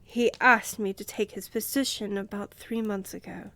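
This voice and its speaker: A woman playing a poised vampire countess in a fantasy audio drama, measured and regal, condescendingly affectionate, with a breathy voice that often drops to a whisper. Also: mid-range voice, fairly even intonation, sharp tone. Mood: composed, gracious. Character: poised, commanding young vampire countess, coolly indulgent.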